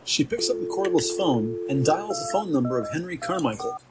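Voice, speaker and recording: A man reading scripted audio drama narration in a measured, detailed storytelling manner. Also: on the high side, very animated, low-quality recording. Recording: music behind the voice.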